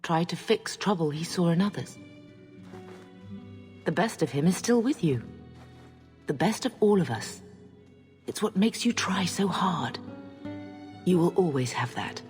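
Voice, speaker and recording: An actress playing a tender, reassuring mother in a video game, speaking gently with a long reverb on her voice. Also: fairly low pitch, animated, a little slow, pauses often. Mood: tender consoling. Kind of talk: video game drama acting. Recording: long reverberant tail, faint music behind.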